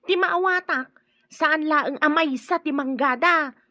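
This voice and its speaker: A woman's voice: high voice, a little slow, pushed and bright, no room sound, lo-fi sound. An actress playing a gossipy, slightly scatterbrained, confiding woman in an Ilocano radio drama. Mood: puzzled, confiding.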